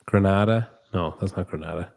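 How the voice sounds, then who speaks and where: Male podcast host, lowish voice, casual and unpolished, swears freely. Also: a little fast, vocal fry, a little mellow. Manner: loose, swears casually, thinks aloud. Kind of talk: casual interview chat. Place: new studio with echo.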